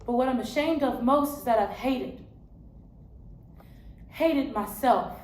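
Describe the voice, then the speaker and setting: An actress performs a weary woman turned angel, baring her soul in a stage monologue. Her fairly high voice moves slowly and deliberately, with heartfelt weight. The room rings around her. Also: a little mellow. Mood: sorrowful confession. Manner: slow, heartfelt, deliberate delivery. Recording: roomy echo, faint background noise.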